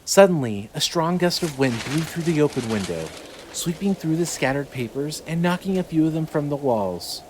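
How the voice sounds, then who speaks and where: A man's voice: fairly high pitch, expressive, normal speed, a little mellow, a little hiss or hum, rough recording. A man reading scripted narration as the narrator and announcer of a fiction podcast.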